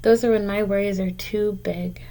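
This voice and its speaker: A woman's voice: mid-range voice, steady tone, normal speed, dark tone. A woman teacher reading a picture book aloud to young children, explaining and encouraging them in simple, direct words.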